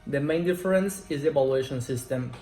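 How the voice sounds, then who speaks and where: A man in his twenties, a Spanish speaker, answering interview questions in slow, careful English. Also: medium pitch, dark tone. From Mexico. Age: 20s. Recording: roomy echo, faint music.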